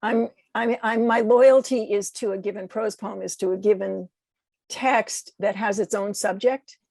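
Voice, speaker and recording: An American woman speaking as a guest poet in a reflective interview, halting and searching for words as she corrects herself. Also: medium pitch, steady tone, gravelly, a little mellow, no room sound. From United States.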